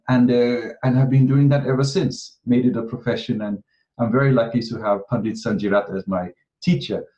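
Man from Kenya, thirties, hesitant, lots of ums, mid pitch, muffled video-call sound. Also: a little mellow. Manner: hesitant, many ums and restarts. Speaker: guest astrology teacher. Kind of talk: casual interview talk. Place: home room, video call. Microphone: video call webcam. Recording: dull video-call audio.

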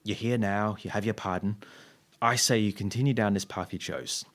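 A man playing an unflappable, long-suffering sidekick in an audio drama, with a dry, wry, measured manner. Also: fairly low pitch, fairly even intonation, fairly bright tone, lightly acted.

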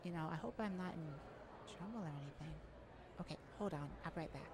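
A woman's voice: deep voice, fairly quick, a loud crowd. A woman voicing an affectionate, modest young male bar singer in an intimate ASMR roleplay, sweet-talking and hesitant. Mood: nervous, polite.

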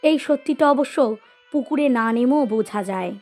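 A woman reading a scripted literary story as narrator, in an expressive storytelling style. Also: high-pitched, brisk. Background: music.

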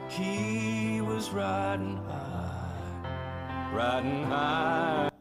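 Slow sung ballad, guy's voice, piano loud behind it. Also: a little high, fairly even intonation. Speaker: singer-songwriter. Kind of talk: recorded song with piano. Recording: song with loud music.

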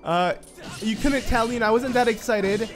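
A man hosting a let's play, talking casually over gameplay in a stuffy, nasal voice and rambling excitedly with lots of fillers. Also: extremely high pitch, moderate pace, music in the background. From United States.

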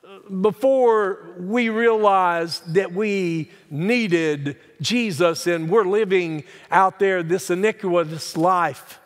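An older man preaching a sermon as a pastor, earnest and deliberate, stressing key words and posing rhetorical questions. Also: high voice. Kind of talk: sermon, Bible teaching. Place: large church sanctuary, speaking from the pulpit. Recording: reverberant church hall sound.

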